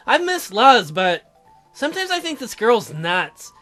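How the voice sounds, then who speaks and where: A man's voice: high voice, very animated, takes it slowly, bright, forceful tone, faint music behind. A man hosting a gaming video, reading narration aloud and adding joking asides. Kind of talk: narrating and joking through a visual novel.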